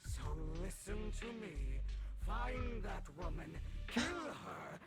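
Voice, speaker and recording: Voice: mid-range voice, sing-song, slow, gravelly, full character voice. An actor voicing a menacing, bitter inner demon in a video game, taunting in hissed whispers and fierce outbursts. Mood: commanding, bitter. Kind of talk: dramatic video game voice acting. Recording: loud background music from game.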